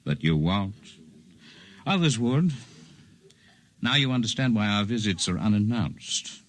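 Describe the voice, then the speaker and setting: An actor playing a calm, erudite alien healer in a 1950s radio drama, speaking in formal, precise, gently condescending phrases. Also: male voice, on the low side, measured pace. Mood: courteous, scholarly. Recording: 1950s radio broadcast recording.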